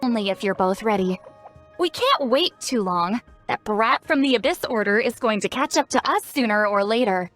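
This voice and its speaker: A woman's voice: on the high side, animated, moderate pace, a bit bright, soft background music. An actress playing a cocky, blunt young female shaman in a video game, speaking in a self-assured, brusque, explanatory way. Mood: thoughtful. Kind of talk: animated video game voice acting.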